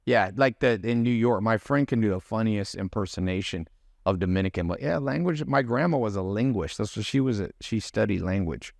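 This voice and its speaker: Animated man, dry close mic. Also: fairly low pitch, a little fast, creaky, dry recording. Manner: animated storyteller, mimics accents. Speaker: podcast guest, business mentor. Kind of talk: casual chat.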